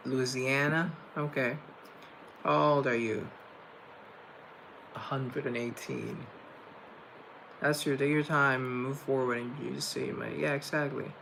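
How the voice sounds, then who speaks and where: A young man from Colombia hosts a relaxed livestream, rambling as he reads viewer comments. His voice carries a gravelly fry, and steady background noise hums under a laptop mic. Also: medium pitch, slow pace, long pauses, rough recording. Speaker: livestream host reading chat. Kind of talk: casual livestream chat.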